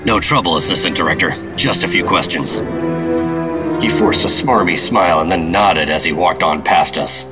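An actor playing a guarded, formal government secret agent and narrator in a 1950s-style radio drama. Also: male voice, sits low, moderate pace, over loud music, lightly acted.

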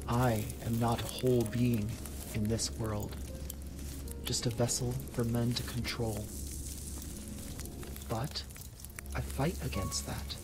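A woman playing a stern, defiant ancient Norse seeress in an audio drama, speaking in solemn, measured, formal declarations. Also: extremely low pitch, flat delivery, over music, rough recording. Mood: grave, defiant.

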